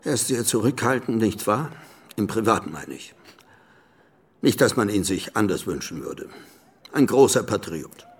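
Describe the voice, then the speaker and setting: Husky, awkwardly earnest father. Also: lowish voice, animated. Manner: halting, circumspect. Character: middle-aged father, earnest, awkwardly hinting, deferential patriot. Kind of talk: radio drama acting.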